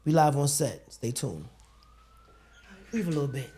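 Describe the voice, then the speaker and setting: A man's voice: on the high side, big pitch swings, unhurried, mellow. A man hosting a talk show, asking rambling questions in a colloquial, chatty way. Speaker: show host. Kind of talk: casual interview chat.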